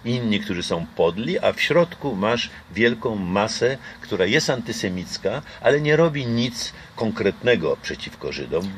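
A man's voice: lowish voice, sing-song, a little slow, few pauses, a bit bright, slight background noise. An older man from Poland talking casually in an interview outdoors, reflective and hesitant with many fillers and restarts. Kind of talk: casual conversation. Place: outdoor garden patio. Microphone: camera close by.

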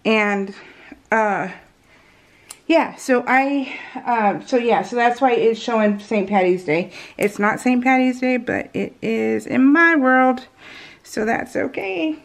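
An American woman chatting casually as she narrates a craft project, rambling and giggly, with slightly unusual soft R sounds. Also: fairly high pitch, slow pace, gravelly. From California, US. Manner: giggly, rambling, self-interrupting. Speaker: craft vlogger. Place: indoors at home, at a craft table.

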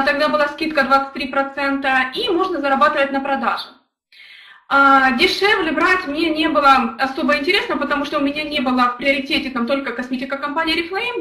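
Brief: young woman, high bright voice, earnest storyteller, roomy echo, a bit off-mic. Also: brisk, recorded from a distance. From Ukraine. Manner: earnest, storytelling, addresses chat viewers directly. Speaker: network marketing leader. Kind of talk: motivational live stream talk.

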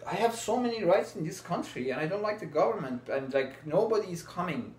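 A man's voice: high voice, expressive, brisk, a little mellow. A man, passionately asking questions in a casual conversation. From Iran. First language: Farsi. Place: small room indoors. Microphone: fixed camera, seated. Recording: roomy with faint background hiss.

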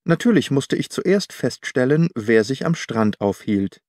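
A man narrates an audiobook in a formal, measured way. His voice sits at a medium pitch. The recording is very dry and close, with hardly any room sound. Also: expressive, a little mellow, dry recording. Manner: measured, formal literary storytelling.

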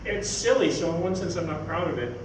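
A man's voice: on the high side, expressive, fairly quick. Older man, echoey distant stage talk. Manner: rambling, anecdotal. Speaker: speaker, science fiction writer. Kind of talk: informal stage talk answering audience questions. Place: on stage in an event hall. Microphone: far camera microphone. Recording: echoey hall from far off, noisy.

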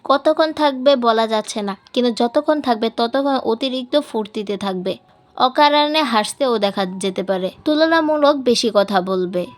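A woman's voice: fairly high pitch, moderate pace. A woman reading a scripted story as an audiobook narrator. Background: music.

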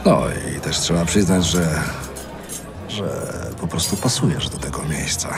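Deep-voiced, self-assured man. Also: measured pace, over music. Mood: flattering, boastful. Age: middle-aged. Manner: smooth-talking, boastful, flattering. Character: self-assured, boastful, flattering well-off man in his forties. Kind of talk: naturalistic audio drama acting.

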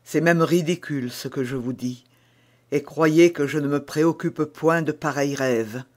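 A man's voice: fairly high pitch, measured pace, close to an everyday voice. A man reading aloud as an ardent, lovestruck nobleman who speaks fervently and fatalistically. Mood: melancholy. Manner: fervent, declamatory.

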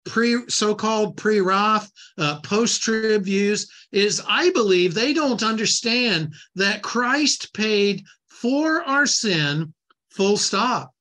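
A man in his sixties delivers a Bible lecture, quoting scripture between looser asides. His voice sits high and sounds bright and clear. He often doubles words as he restarts a thought. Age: 60s. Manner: repeats words and restarts, reads scripture aloud. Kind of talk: Bible teaching talk.

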